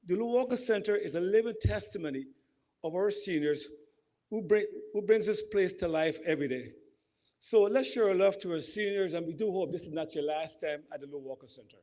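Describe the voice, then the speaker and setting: A man from Guyana with a Guyanese accent, giving an emotional, halting speech at a community reception. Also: high-pitched, darkish tone. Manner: emotional, halting, repeats and corrects himself. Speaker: event speaker. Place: community center hall.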